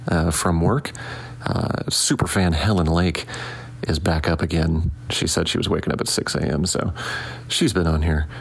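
Exhausted man with a very deep voice. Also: creaky, breathy edge. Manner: sleepy, low-volume talk. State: exhausted from staying up all night. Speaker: charity stream host. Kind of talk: casual livestream update. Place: quiet house at night.

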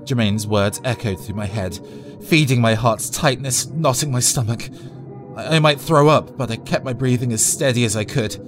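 A man's voice: medium pitch, normal speed, over music. A man narrating a scripted first-person horror story in a dramatic, tense storytelling manner.

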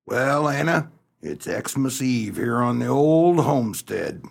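Old farmer in a radio melodrama , played by a man: husky, slow, overwrought and despairing. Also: medium pitch, animated, heavily acted. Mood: weary. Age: older. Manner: overwrought, theatrical lamenting. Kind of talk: comic radio melodrama acting.

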